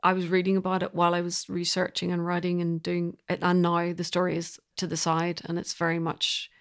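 A woman's voice: on the low side, monotone, fairly quick, clear, resonant tone. A woman with a Northern Irish accent talking reflectively in an interview, thoughtfully searching for words in short phrases. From Northern Ireland. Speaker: composer being interviewed.

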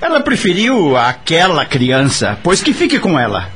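A cold, bitter husband in a radio soap opera. His fairly high voice swings sharply up and down as he speaks with harsh dismissiveness. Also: wildly swooping pitch, normal speed, a bit bright, a little hiss or hum. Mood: cold, scornful. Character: cold, bitter husband disowning wife and baby.

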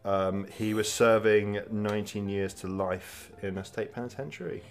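A man's voice: lowish voice, fairly even intonation, normal speed. A man hosting a casual podcast, sharing facts and steering the chat with dry wit. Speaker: podcast host.

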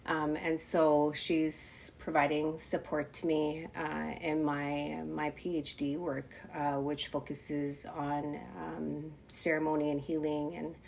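A woman's voice: low-pitched, little pitch movement, slow, vocal fry, hardly any pauses, slight background noise. A woman co-hosting a podcast, speaking with a stuffed-up voice from a cold, thankful and reflective in casual conversation. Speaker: podcast co-host.

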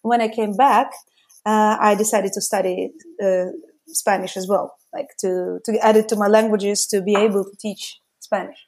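A woman's voice: medium pitch, moderate pace. A woman with a Russian accent, a podcast guest telling stories in casual conversation, with many fillers as she talks. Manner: lively storytelling with frequent fillers.

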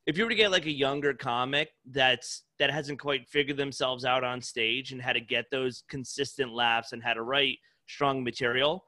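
Bright-voiced, foul-mouthed comedian in his thirties. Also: medium pitch, steady tone, moderate pace, few pauses. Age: 30s. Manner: profane, informal, frequent "dude" and "like". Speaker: stand-up comedian, podcast guest. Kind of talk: casual podcast chat.

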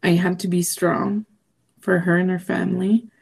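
A woman from Quebec whose first language is French, co-hosting a political talk podcast and speaking emphatically, her voice rising into high, outraged questions. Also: flat delivery, moderate pace, gravelly, darkish tone, slightly rough. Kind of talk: impassioned political chat.

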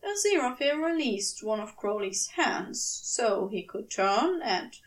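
Slow, high-voiced woman narrating fiction expressively. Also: big pitch swings, recorded from a distance. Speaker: fan fiction podfic reader. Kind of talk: expressive scripted story narration.

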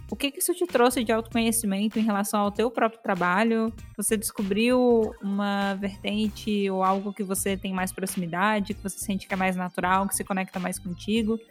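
A woman's voice: medium pitch, steady tone, a little fast, hardly any pauses, faint music behind. A woman hosting a casual interview, friendly and encouraging, asking long questions. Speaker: interview host.

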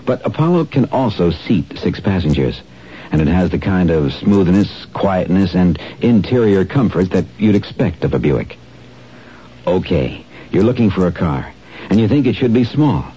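Man, deep, husky, relaxed ad read, 1970s radio, lo-fi. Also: moderate pace. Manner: relaxed, conversational pitch. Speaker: car commercial announcer. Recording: dull lo-fi old radio broadcast.

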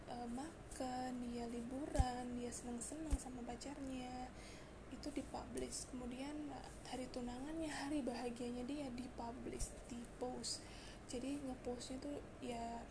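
A hoarse young woman hosting a casual solo podcast, chatty and confiding, with frequent fillers and restarts. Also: high voice, level tone, measured pace, background noise. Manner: chatty, confiding, mixes in English words, many fillers and restarts. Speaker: podcast host.

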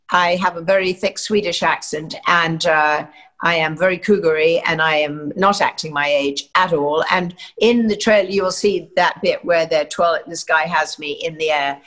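A woman's voice: fairly low pitch, steady tone, unhurried, fairly bright tone. An older woman from England, an actress, giving chatty, rambling interview answers full of fillers and restarts.

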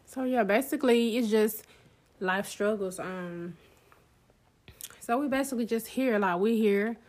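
A woman's voice: fairly high pitch, measured pace, darkish tone. A woman co-hosting a casual talk show, speaking in an encouraging, pep-talk way. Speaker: podcast co-host. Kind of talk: casual chat.

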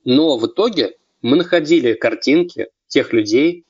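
A man's voice: mid-range voice, expressive, moderate pace, darkish tone, breathy edge, no echo, muffled recording. A man of twenty on a casual podcast, explaining things step by step. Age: 20s. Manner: explanatory, lays out points step by step. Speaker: podcast co-host.

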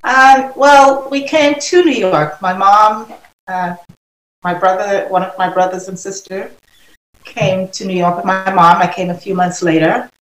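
A woman's voice: medium pitch, fairly even intonation, a little slow. A middle-aged woman from Trinidad, speaking as a guest in a conversational, reminiscing way. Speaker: podcast guest. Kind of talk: conversational personal recollection. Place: remote, on a video call. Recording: echoey video call audio.

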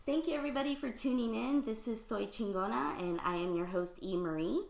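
A woman hosting a podcast, chatty and quick to laugh, interviewing a guest in the guest's home. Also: fairly high pitch, vocal fry. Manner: warm-hearted, chatty, laughs often. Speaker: podcast host. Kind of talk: casual interview conversation. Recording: echoey home room.

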